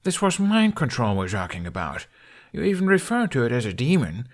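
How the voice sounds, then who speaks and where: A man voicing an earnest, persistent young Viking who cares deeply and presses his point in an audiobook story. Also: very animated, fairly quick. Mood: indignant.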